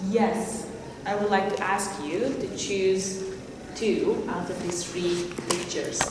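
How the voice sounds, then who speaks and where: A woman's voice: mid-range voice, fairly even intonation, slow pace. A woman whose first language is Hungarian leads a group exercise at a workshop, chatty and laughing often. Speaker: workshop co-presenter. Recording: echoey hall, distant mic, background noise.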